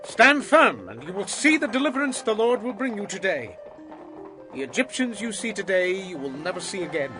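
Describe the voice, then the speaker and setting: A reassuring leader and prophet in a scripture audio drama. He declaims boldly in a raised, widely swinging voice, with music clearly behind him. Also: high voice, very animated, normal speed. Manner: emphatic, declaiming. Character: Moses, bold reassuring male prophet and leader.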